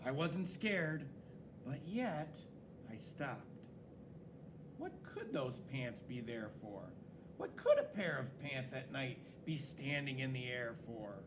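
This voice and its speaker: A man reading a children's story aloud in an expressive, dramatic way, with swooping emphasis. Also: medium pitch, takes it slowly, noisy background. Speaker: story reader.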